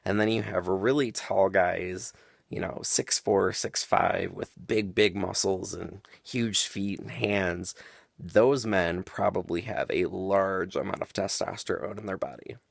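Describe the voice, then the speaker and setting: Deep, gravelly-voiced young man rambling. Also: unhurried, no room sound, clean studio sound. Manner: rambling, explanatory, frequent ums. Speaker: vlogger. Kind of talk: casual monologue to camera.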